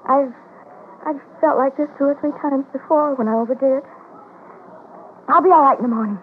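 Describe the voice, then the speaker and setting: A woman's voice: high voice, moderate pace, slight background noise, lightly acted. An actress playing a sweet, brave young woman who downplays her frailty, in an old-time radio drama. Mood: brave, reassuring. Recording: muffled vintage radio broadcast, music behind.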